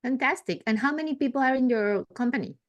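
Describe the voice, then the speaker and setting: A woman's voice: sits high, fast. A woman hosting a podcast interview, enthusiastic and full of praise. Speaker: podcast host.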